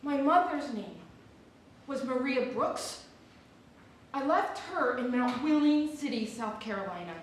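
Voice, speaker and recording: A woman's voice: high-pitched, expressive, measured pace, pauses often. A woman giving a dramatic reading as a formerly enslaved woman searching for her family. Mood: solemn, longing. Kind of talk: dramatic reading of a historical newspaper ad. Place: theatre or hall, recorded from a distance. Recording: echoey hall from far off, faint noise.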